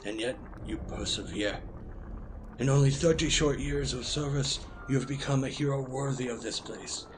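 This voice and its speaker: Audio drama: male actor as age-old wizard commander, solemn and weary, formal delivery, mid-pitched, light music underneath. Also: normal speed, lightly acted. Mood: regretful, earnest. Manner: formal, ceremonious, stately speech. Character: ancient Merlin, grave male founder and commander, weary, proud.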